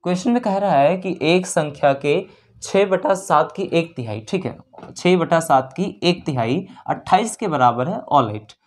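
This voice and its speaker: A man's voice: fairly high pitch, lively intonation, a little slow. A man teaching maths step by step, asking questions and answering them himself, repeating key steps and mixing in English terms. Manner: mixes English terms into speech. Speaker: maths teacher for exam preparation. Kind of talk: spoken maths lesson, working through questions.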